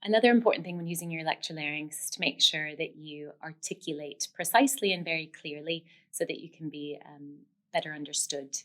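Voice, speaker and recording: A woman's voice: low voice, a little fast, hardly any pauses, a bit bright. A woman giving step-by-step instruction as a speech therapist, explanatory and encouraging.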